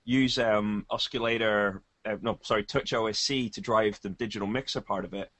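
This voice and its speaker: A man's voice: fairly low pitch, steady tone, moderate pace, a bit bright, dry recording. A man co-hosting a podcast in casual, conversational tech talk. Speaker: podcast co-host.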